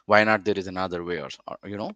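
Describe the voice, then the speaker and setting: A man's voice: low-pitched, fairly even intonation, quick pace, bright, forceful tone, dry recording, highs cut off. A man giving blunt, conversational opinions as a panelist.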